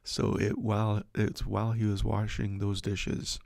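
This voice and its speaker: A middle-aged man hosts a devotional reflection with a low, gravelly voice. He talks loosely and with self-deprecating humor, restarting his sentences and filling them with ums. The sound is close and dry, with almost no room around him. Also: monotone, measured pace, darkish tone, dry recording. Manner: rambling, self-deprecating, many restarts and fillers. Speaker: host of a devotional livestream and podcast.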